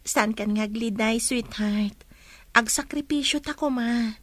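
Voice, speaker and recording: A woman playing a gentle, hopeful young woman in love, speaking softly and earnestly in a radio drama. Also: on the high side, measured pace, no room sound. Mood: tender.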